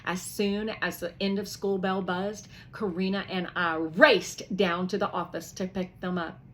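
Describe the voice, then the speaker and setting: A woman reading a children's novel aloud with lively expression, pausing to explain words to young listeners. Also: lowish voice. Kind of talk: expressive read-aloud for kids.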